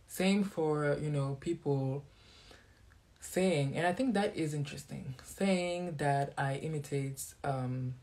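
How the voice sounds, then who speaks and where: A man's voice: a little high, darkish tone. Sassy Belgian man venting to viewers. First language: French. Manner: sassy, defiant, throws shade. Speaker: vlogger. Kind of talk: casual monologue to viewers.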